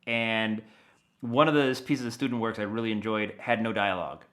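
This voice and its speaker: A man speaking as an audio dramatist guest in a conversational, enthusiastic interview, telling things in an animated way. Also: fairly low pitch, moderate pace.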